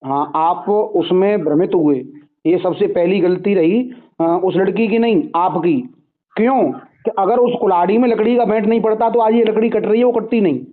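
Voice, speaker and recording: A man's voice: high-pitched, normal speed. Admonishing man on a tinny phone line. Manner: admonishing, moralizing. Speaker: caller questioning and admonishing the other man. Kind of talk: phone conversation. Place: phone call. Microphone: telephone. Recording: tinny band-limited phone call recording.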